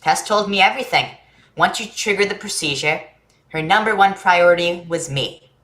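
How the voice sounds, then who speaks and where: A woman's voice: lowish voice, moderate pace, fairly bright tone, reverberant, natural voice. A woman playing an earnest, eager and frank young boy in a staged play reading. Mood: eager, proud. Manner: earnest, blunt, childlike.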